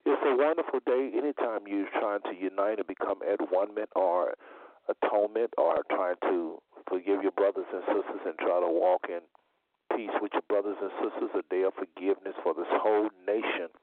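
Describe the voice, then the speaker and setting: A man hosting a religious call-in show in a deliberate, repetitive way, his voice slightly under the weather. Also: on the low side, dry recording. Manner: deliberate, repeats phrases for emphasis. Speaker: talk show host. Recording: phone-like internet radio audio.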